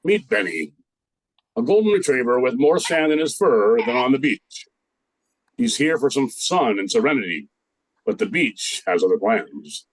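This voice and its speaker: A man's voice: medium pitch, expressive, moderate pace, no echo, rough recording. An older man narrating a scripted radio play as its storyteller.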